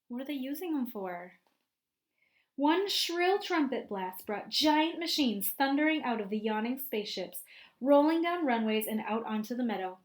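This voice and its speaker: Higher-voiced woman reading to young children. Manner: expressive, prompting listeners with questions. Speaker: teacher reading a picture book aloud to children. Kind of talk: read-aloud storytelling with questions to young children.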